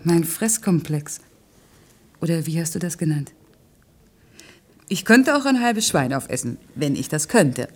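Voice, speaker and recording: An actress playing a headstrong, defensive working-class woman in a naturalistic radio play, curt and dryly self-mocking, with a husky voice. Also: sits low, expressive, measured pace, long pauses, full character voice. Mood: evasive, casual.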